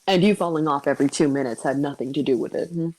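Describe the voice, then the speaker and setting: A woman's voice: sits low, fast talker, a little mellow, no echo, poor audio. A woman voicing a guarded, sarcastic young man with a dry, muttering delivery in a fan fiction audio drama. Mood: dry, teasing.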